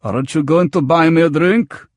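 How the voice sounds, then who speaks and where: Man acting a pushy, husky corrupt cop, pitched up. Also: normal speed, no room sound, close to an everyday voice. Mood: hurt, wheedling. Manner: haggling, theatrical. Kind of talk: acted audiobook dialogue.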